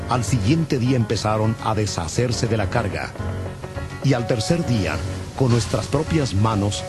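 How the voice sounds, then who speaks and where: Low-voiced male Bible narrator over music. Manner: measured, solemn. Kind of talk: scripted scripture narration.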